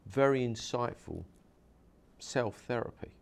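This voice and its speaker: A man's voice: medium pitch, expressive, unhurried, vocal fry. A man, a hypnosis practitioner, interviewing a guest and explaining his method earnestly, with many repeats and restarts.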